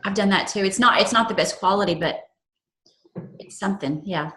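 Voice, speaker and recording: Halting woman in an echoey room. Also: mid-range voice, a bit bright. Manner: questioning, halting. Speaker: panel participant. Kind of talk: casual panel discussion.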